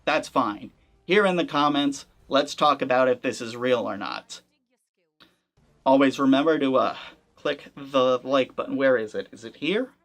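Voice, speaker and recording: A man's voice: medium pitch, unhurried, fairly bright tone. Casual, skeptical male gaming host. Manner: conversational, skeptical, wry asides. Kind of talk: casual commentary to camera. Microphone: camera-facing setup.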